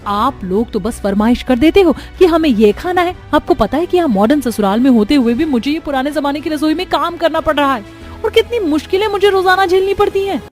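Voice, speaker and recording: A woman voicing a young Indian daughter-in-law, polite but easily frustrated, in an earnest, expressive cartoon family story. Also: sits high, a little fast, soft background music.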